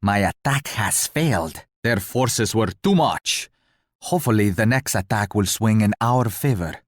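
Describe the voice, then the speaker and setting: Stately, low-voiced colonial-era ruler. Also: male voice, animated, measured pace, dry recording, studio quality. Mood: grim, resigned. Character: stately, courteous male colonial-era ruler, an allied leader. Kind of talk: scripted video game voice lines.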